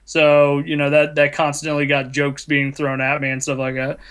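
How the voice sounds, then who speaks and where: A man's voice: a little high, monotone, moderate pace, a bit bright, smooth. A man in his late twenties, raised in the United States, rambling and mocking himself as a podcast host. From Ireland, raised in the United States. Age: 20s. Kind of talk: casual chat.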